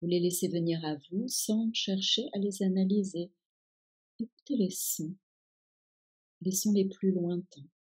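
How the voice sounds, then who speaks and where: A woman guiding a yoga nidra relaxation with calm, soothing instructions in a soft-edged, breathy voice. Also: mid-range voice, a little slow, long pauses. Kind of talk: guided meditation, relaxation instructions.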